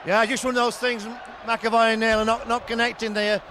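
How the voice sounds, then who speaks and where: A man's voice: very high-pitched, level tone, brisk. A man giving analytical co-commentary on a rugby league match, breaking down how each play unfolded. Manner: explains plays in detail. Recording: archive broadcast with crowd noise.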